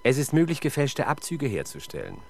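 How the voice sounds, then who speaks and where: A man's voice: mid-range voice, huge pitch swings, fairly quick, gravelly, a little mellow, exaggerated voice. An actor playing a sardonic, exasperated male police detective in a 1970s German radio crime drama, with dry, sarcastic delivery. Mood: pressing, insistent. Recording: 1970s radio production with faint background noise.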